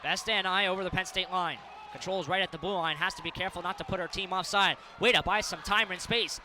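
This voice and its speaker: A man's voice: sits high, steady tone, fast, noisy background. A man in his early twenties working as a sports broadcaster, delivering commentary and reporting. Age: early 20s. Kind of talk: hockey play-by-play commentary and scripted sports news narration.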